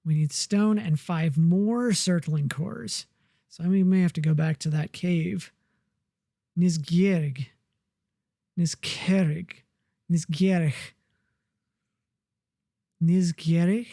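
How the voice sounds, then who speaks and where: Lady streamer rambling slow over her game, low mellow voice, dry close sound. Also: dry recording. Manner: relaxed, muttering to herself while building. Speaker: gamer. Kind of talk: casual let's-play commentary.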